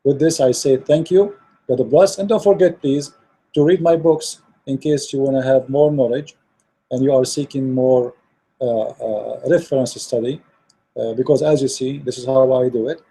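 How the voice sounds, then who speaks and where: Hoarse man with a dark, husky voice. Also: medium pitch, steady tone, moderate pace, rough recording. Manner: earnest, repetitive, many fillers and restarts. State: losing his voice. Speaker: online religious debater. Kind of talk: direct address to viewers, closing remarks.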